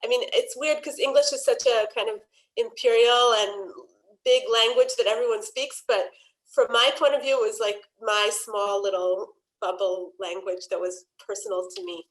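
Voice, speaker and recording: A woman's voice: on the high side, fairly even intonation, normal speed, low-quality recording. Hesitant, thoughtful woman poet. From Israel. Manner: thoughtful, hesitant, many fillers. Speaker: poet and translator. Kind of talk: reflective panel conversation.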